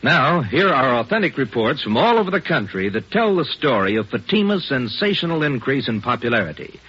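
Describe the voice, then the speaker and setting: A man announcing sponsor commercials on 1940s radio in an animated sales pitch. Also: fairly quick, breathy edge. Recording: dull old radio recording with music.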